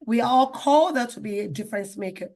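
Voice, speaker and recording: A woman's voice: a little high, fast, vocal fry, darkish tone. A woman hosting a talk show in an enthusiastic, effusive manner, repeating phrases for emphasis. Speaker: show host.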